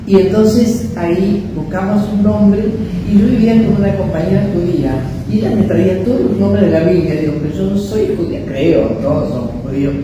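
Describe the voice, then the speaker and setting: An older woman from Italy, a poet telling anecdotes in a relaxed, reflective and quietly humorous way. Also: mid-range voice, fairly quick, few pauses. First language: Italian. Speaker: poet being interviewed. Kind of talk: relaxed conversational interview. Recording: echoey room, distant mic, background noise.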